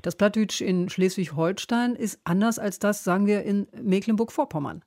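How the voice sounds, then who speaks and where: A woman's voice: medium pitch, normal speed, a little mellow, no echo. A woman with a Berlin accent hosting a radio interview, asking light, lightly teasing questions. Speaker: radio host. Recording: dry studio.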